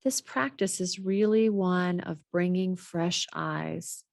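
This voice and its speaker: A woman guiding a body scan meditation in a measured, gently instructional way. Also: on the low side, creaky, no echo, clean studio sound. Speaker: meditation teacher.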